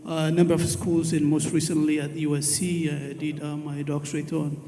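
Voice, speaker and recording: A man from Ethiopia introduces himself to a conference audience, pausing often on fillers as he speaks. His voice is slightly high and mellow, with an even, level delivery. The sound carries a long reverb from a large hall. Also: slight background noise. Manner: hesitant, many "uh" fillers. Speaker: panelist. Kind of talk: panel self-introduction.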